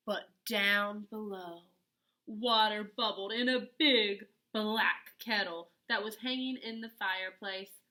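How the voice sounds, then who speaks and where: Bright-voiced woman reading slowly to children. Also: mid-range voice. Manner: dramatic, with emphatic pauses. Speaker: storyteller reading aloud to children. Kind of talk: expressive picture-book read-aloud.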